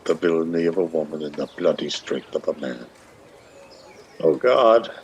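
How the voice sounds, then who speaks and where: A man's voice: low voice, dull audio, slight background noise. An actor playing a bitter old blind Irish beggar man in a radio play, declaiming in lilting dialect. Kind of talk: theatrical radio play acting.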